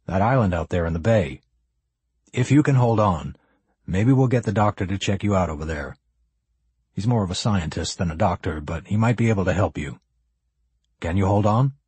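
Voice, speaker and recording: A wary, practical cop, played by a male narrator, speaking in a folksy way. His voice is low and husky, close and dry, as an audiobook character. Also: animated, normal speed, long pauses, a little mellow, dry recording, full character voice. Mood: wary, reluctant. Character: wary, pragmatic male police officer.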